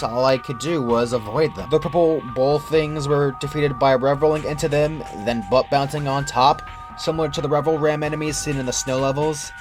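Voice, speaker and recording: A man reading a scripted first-person horror story as its narrator. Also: fairly high pitch. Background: background music.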